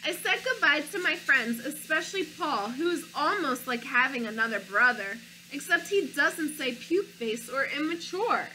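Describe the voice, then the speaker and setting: A woman teacher reading a picture book aloud to children, with an expressive storytelling delivery, stopping to ask her young listeners questions. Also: sits high, normal speed, hardly any pauses, a little hiss or hum, low-quality recording.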